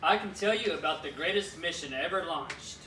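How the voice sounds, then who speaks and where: Kindly talking-book puppet, acted by a man, didactic, high and bright, echoey room. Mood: friendly. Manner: didactic, measured explaining. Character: Mister Bible, kindly teacherly talking Bible puppet. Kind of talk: children's church puppet show. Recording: echoey room, distant mic, faint noise.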